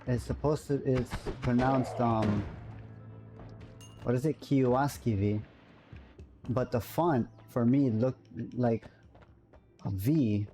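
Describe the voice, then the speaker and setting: Man, live streamer, casual rambling chat, mid-range voice, clear game music behind. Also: medium pitch, slow, long pauses, warm tone. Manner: rambling, musing aloud, self-correcting.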